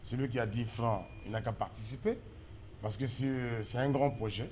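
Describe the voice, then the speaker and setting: A male talk show guest with a Congolese accent makes an appeal to the public. His voice is mid-pitched and dark, picked up off-mic with faint noise. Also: normal speed. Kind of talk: public appeal in a studio discussion.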